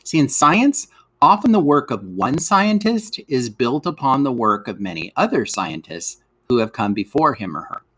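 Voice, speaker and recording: A man's voice: mid-range voice, very animated, normal speed, fairly bright tone, breathy edge. A man reading an educational script aloud for children as a teacher, explanatory and stressing key words.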